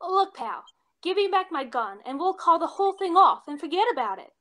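A woman's voice: extremely high pitch, sing-song, brisk, a bit bright, full character voice. A young woman playing a brash, lovesick chorus girl with slangy, melodramatic tough talk in 1940s radio comedy style. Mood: brash, defiant. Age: young adult. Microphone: seated before camera on video call.

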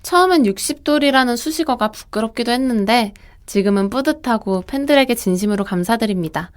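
Young actress as a composed idol leader, a bit high, modest and steady. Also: female voice, fairly quick, natural voice. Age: young adult.

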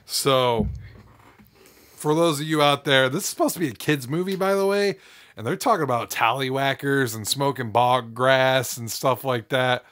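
Young man with a sore throat, animated. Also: medium pitch, moderate pace. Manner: animated, bursts into loud excited exclamations. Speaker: podcast co-host. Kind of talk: casual chat. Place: room with shelves of movies and comics.

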